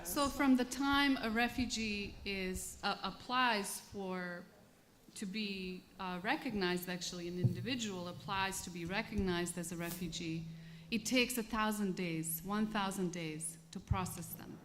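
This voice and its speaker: Woman panel moderator, medium pitch, explaining stuff to an audience, slightly noisy recording. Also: measured pace, fairly bright tone.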